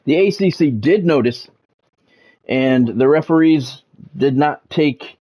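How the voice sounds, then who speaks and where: A man's voice: a little high, lively intonation, slow, warm tone. A man hosting a livestream in a casual way, giving sports news and often stopping to repeat words and phrases. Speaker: livestream host, former radio play-by-play announcer.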